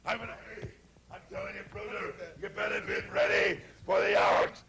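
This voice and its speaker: A man, a pro wrestler, ranting a menacing promo in a gravelly rasp, repeating worked-up threats. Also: high voice, little pitch movement, moderate pace, noisy background. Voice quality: gravelly, rasping. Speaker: professional wrestler.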